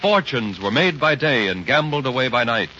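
A man's voice: medium pitch, very animated, moderate pace, bright, forceful tone. Male vintage radio narrator, declamatory and punchy, on a muffled recording with faint hiss. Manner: grand, declamatory. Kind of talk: 1930s dramatic radio narration.

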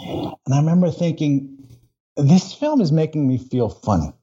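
Rambling, soft-toned man with muffled sound. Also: a little high, lively intonation, moderate pace, husky. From grew up overseas, mainly Singapore. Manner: rambling, many fillers and restarts. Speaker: guest. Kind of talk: casual interview talk.

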